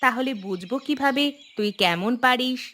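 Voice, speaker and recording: A woman's voice: high voice, normal speed, bright, forceful tone. A woman voicing an exasperated village mother in a cartoon, scolding and complaining about her mischievous children. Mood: firm, motherly. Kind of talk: Bengali cartoon voice acting.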